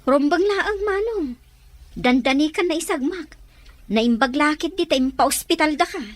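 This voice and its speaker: High-voiced, protective younger sister. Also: female voice, animated, normal speed, dry recording. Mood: indignant. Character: earnest, protective younger woman confiding in older brother. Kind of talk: radio drama acting.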